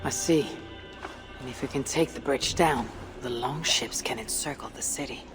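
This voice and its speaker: Low-voiced, determined Norse warrior woman. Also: moderate pace, music in the background. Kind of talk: video game cutscene acting.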